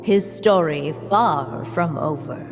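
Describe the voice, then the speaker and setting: A storybook narrator in a video game. She is solemn and measured, lingering over each phrase in a fairly low voice. Music plays clearly behind her. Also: big-room sound. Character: storybook narrator, calm grave woman telling a fable. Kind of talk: scripted fairy-tale narration in a video game.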